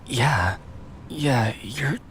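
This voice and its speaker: A man as a halting, distracted death god. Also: medium pitch, lively intonation, fairly bright tone, a little hiss or hum. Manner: halting, terse reassurance.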